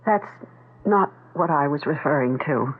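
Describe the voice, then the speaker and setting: A woman's voice: deep voice, lively intonation, unhurried. An actress playing a worried, knowing middle-aged mother with telepathic gifts in a 1970s radio drama. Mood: cryptic, troubled. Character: worried, telepathic mother aged forty-nine, recovering in hospital. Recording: tinny, phone-like vintage radio broadcast.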